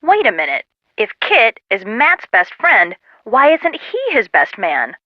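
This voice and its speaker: Telephone-filtered, animated sassy young woman. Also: medium pitch, unhurried, no echo. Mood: wry, curious. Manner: sarcastic, exclaiming. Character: sassy, excitable young woman, loyal wisecracking friend on phone. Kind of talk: video game voice acting. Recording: muffled lo-fi telephone-style sound.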